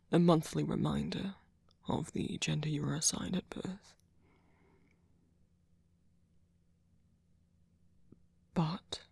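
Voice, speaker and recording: A man playing a gentle, centuries-old vampire boyfriend in an ASMR roleplay, speaking softly in a breathy, husky half-whisper with a hesitant, comforting manner. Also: fairly high pitch, no room sound, clean studio sound, full character voice. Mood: tender, consoling. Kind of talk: ASMR roleplay, soft intimate monologue.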